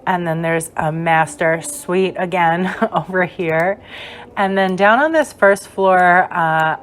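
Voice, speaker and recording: Chatty young woman with a hoarse voice. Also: on the low side, slight background noise. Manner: chatty and rambling, with many fillers. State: hoarse, losing her voice. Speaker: gaming creator. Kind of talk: casual gameplay voice-over tour.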